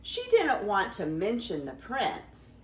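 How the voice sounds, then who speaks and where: An older woman reads a fairy tale aloud in a slow, highly animated voice. Her delivery is theatrical and full of emphasis. The sound is roomy and echoing. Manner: theatrical, dramatic storytelling with emphasis. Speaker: grandmother reading stories to her granddaughter.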